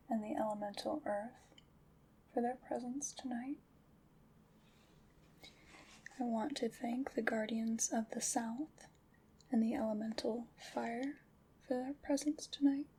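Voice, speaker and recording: A woman playing a gentle, solemn witch in an ASMR roleplay, whispering in a breathy, soothing, ceremonial way. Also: a little high, fairly even intonation, unhurried, slight background noise, poor audio. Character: gentle, solemn witch leading a Samhain ritual.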